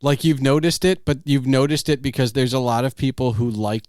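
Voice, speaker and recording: A man's voice: mid-range voice, fairly even intonation, brisk, a little mellow, no room sound, clean studio sound. A man hosting a podcast in a casual, informal way, talking emphatically and repeating his phrases. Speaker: podcast host. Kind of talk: casual chat.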